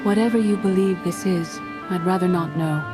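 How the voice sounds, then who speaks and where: Woman as a low-voiced, stern mystic master. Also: moderate pace, lightly acted. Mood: brusque. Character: stern, weathered female Jedi master, dryly hospitable. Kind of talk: dramatic video game acting. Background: loud game music.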